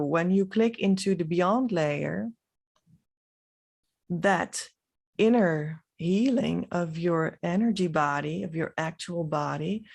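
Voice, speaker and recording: A woman from the Netherlands, a native Dutch speaker with a Dutch accent, giving a reflective, unscripted vlog monologue with halting, trailing phrases. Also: on the low side, creaky. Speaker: spiritual vlogger.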